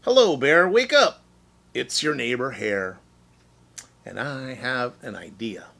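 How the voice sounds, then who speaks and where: A man's voice: medium pitch, lively intonation, takes it slowly, vocal fry, bright, forceful tone, heavily acted. A man voicing a clever, eager male hare in a children's story, with a persuasive, emphatic delivery. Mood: cheerful, persuasive.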